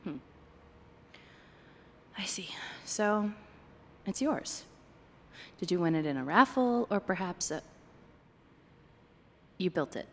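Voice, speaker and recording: A woman's voice: fairly low pitch, animated, slow, long pauses, in a large hall, slight background noise. A woman playing a flirty, playfully sarcastic date companion in a one-sided ASMR roleplay, with dry, hesitant asides. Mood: incredulous, playful.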